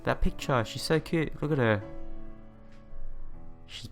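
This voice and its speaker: A young man with a mid-pitched voice hosts a let's play. He reads and comments in an earnest, informal way, with game music faintly behind him. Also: slow pace, gravelly. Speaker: let's player. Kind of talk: reading a visual novel aloud with casual commentary.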